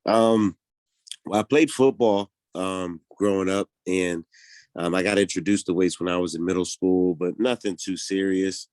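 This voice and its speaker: A man with a very low voice speaks in a relaxed, easygoing way, full of ums and small restarts as he tells his story. He is in his thirties and from Pittsburgh, recorded dry and close. It sounds like a casual podcast interview. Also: moderate pace, no room sound. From Pittsburgh, United States. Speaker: podcast guest, bodybuilder.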